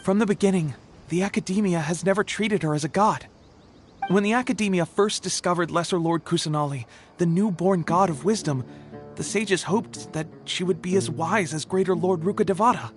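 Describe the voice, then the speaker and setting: A man's voice: high-pitched, fairly quick, soft background music, close to an everyday voice. A man voicing an earnest young scholar who explains things in a video game cutscene. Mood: serious.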